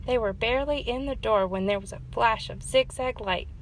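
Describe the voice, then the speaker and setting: A woman's voice: a little high, normal speed, a little hiss or hum, rough recording. A woman hosting story time, reading a children's storybook aloud as narrator.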